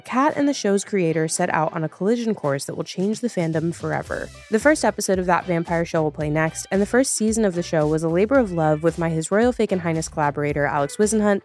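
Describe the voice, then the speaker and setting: A woman's voice: lowish voice, a little mellow. A woman podcast creator giving a scripted introduction to a fiction show. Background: music bed.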